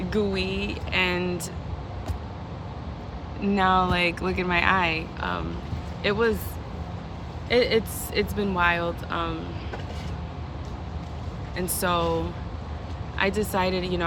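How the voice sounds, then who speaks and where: A woman giving a casual, unedited tarot reading outdoors, talking in a chatty, meandering way, her voice a little hoarse. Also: on the low side. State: slightly hoarse, recovering from lost voice. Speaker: tarot reader. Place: outdoors in a city, traffic nearby. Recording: outdoor traffic noise.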